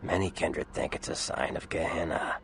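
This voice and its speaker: Very deep, gravelly vampire informant. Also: male voice, normal speed, fairly bright tone, heavily acted. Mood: matter-of-fact. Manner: dry, wry, slangy, explanatory. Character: worldly, sardonic old vampire informant, man. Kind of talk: video game character dialogue.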